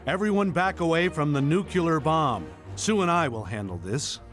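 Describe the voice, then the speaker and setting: An actor playing a self-important, stubborn scientist superhero with a pedantic manner in a comedic game scene. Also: male voice, fairly high pitch, animated, normal speed, a bit bright, faint music behind. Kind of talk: comedic game cutscene acting.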